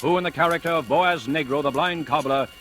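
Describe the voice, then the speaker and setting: A man announces a vintage radio show in a formal, declamatory way. Loud music plays under his voice. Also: on the high side, brisk. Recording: old radio broadcast over loud music.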